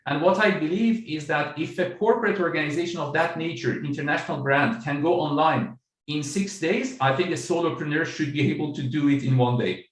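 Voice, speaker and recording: A middle-aged man from Iran, an author and business coach, answering interview questions over a video call in a measured, explanatory way with many fillers. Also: on the high side, reverberant, far from the mic.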